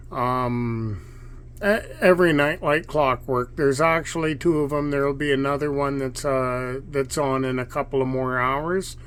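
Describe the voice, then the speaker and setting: A man giving casual, unscripted commentary as an amateur radio demonstrator, halting and measured with pauses. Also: on the high side, fairly bright tone. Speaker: amateur radio operator narrating a video. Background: shortwave radio noise and chatter.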